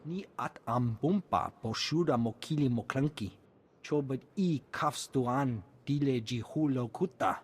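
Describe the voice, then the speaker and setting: Husky alien speaker. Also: male voice, medium pitch, measured pace. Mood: businesslike. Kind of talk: video game dialogue in an invented alien tongue.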